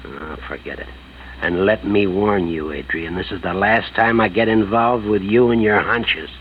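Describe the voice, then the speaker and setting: Actor as a harried police inspector, muffled radio. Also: male voice, on the low side, moderate pace, lightly acted. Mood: exasperated. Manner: exasperated, nervy, blustering. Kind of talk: 1940s radio drama acting. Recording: muffled vintage radio, faint noise.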